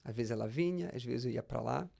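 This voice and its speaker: A man's voice: medium pitch, a little fast, creaky, mellow, husky. A Brazilian man speaking as a pastor and interview guest, telling personal anecdotes conversationally and often repeating words as he explains.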